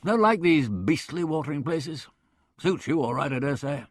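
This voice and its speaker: A man voicing a blustering, boorish middle-aged country squire, hearty and loud in manner. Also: on the high side, expressive, moderate pace, dry recording, clean studio sound.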